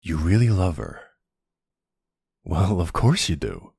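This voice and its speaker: A man acts out a doting mafia boss husband and father in an intimate audio roleplay. His voice is very deep, with gravelly fry, and he speaks slowly and softly with tender, hesitant pauses. The sound is close and dry. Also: very animated, no room sound, full character voice. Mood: earnest, warm. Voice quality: gravelly, husky. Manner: soft, unhurried, hesitant pauses. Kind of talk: intimate ASMR roleplay acting.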